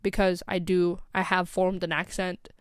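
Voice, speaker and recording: A woman's voice: lowish voice, level tone, moderate pace, clean tone, no room sound, clean studio sound. A young woman with an American accent telling a casual, rambling story as a vlogger, full of "like" and "um". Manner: rambling, scatterbrained, lots of "like" and "um".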